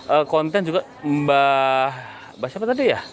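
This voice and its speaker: A man hosting an online interview in casual chat, talking in a lively way and often rising in surprise. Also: fairly high pitch, a little slow. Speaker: YouTube host interviewing a guest. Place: on site at a home cracker business.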